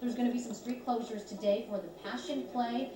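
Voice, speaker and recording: An American woman presents morning news and traffic quickly and chattily. Her voice comes through slightly distant in an echoey space, with other voices clearly around her. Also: fairly high pitch, fairly even intonation, a crowd in the background.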